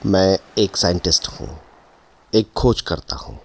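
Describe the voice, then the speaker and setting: Deep, sober Arctic ship captain. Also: male voice, expressive, a little slow, vocal fry, a little hiss or hum, exaggerated voice. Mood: grave, puzzled. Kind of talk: audio drama narration addressed to listener.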